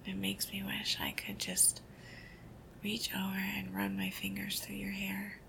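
A woman doing a whispered ASMR girlfriend roleplay, soft, intimate and affectionate. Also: medium pitch, completely flat delivery, unhurried, vocal fry, background noise.

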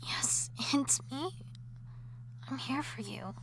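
A woman voicing an obsessive, jealous wife who has come back from the dead, in an audio drama that moves between intimate whispering and shrill shouting. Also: measured pace, slight background noise, low-quality recording. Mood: tender. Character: obsessive jealous undead wife, possessive and unstable.

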